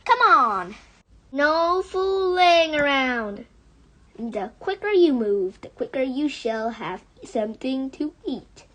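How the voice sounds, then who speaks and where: Storybook father fox, played by a woman: jubilant, bossy, proud; high voice, commanding exclamations. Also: big pitch swings, takes it slowly, a bit bright, exaggerated voice. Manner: exclamatory, commanding, self-congratulating.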